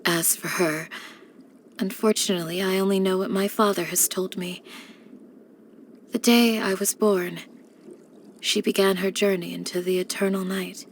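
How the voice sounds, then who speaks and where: An actress plays a devoted young woman who guards a desert village in a video game. Her medium-pitched voice is measured and composed, with formal, gentle phrasing. There is some noise behind the voice. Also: steady tone, close to an everyday voice. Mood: calm, thoughtful. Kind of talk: scripted game character voice lines.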